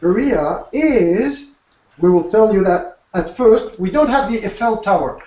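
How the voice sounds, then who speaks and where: A man with an Alsatian French accent co-hosting a live video panel in halting English. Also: high-pitched, animated, mellow. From Alsace, France. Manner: halting, hesitant English with many pauses. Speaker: co-host. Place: room in a restaurant venue in Strasbourg. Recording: echoey, distant, dull sound.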